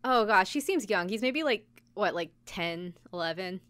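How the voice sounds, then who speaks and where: A woman's voice: mid-range voice, expressive, moderate pace, fairly bright tone, no room sound. A woman podcast co-host telling a story in casual chat, informally, with lots of "like" and quoted asides. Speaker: podcast co-host recapping an anime episode. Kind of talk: casual chat, episode recap.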